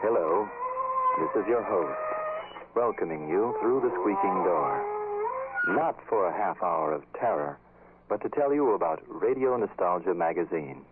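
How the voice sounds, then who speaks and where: A man reading a theatrical old-time radio advertisement as announcer, with a husky voice. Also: sits low, animated, a little slow, very noisy. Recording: tinny narrow-band radio.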